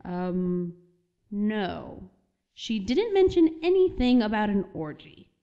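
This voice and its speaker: A woman's voice: mid-range voice, very animated, takes it slowly, warm tone, dull audio. A woman playing an easygoing, concerned niece in a casual, conversational way in an audio drama. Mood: casual, puzzled.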